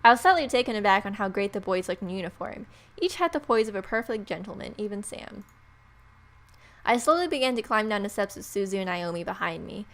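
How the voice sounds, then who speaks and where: A woman's voice: mid-range voice, expressive, fairly quick, fairly bright tone. A woman reading a visual novel aloud as scripted narration, with casual asides. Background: faint music.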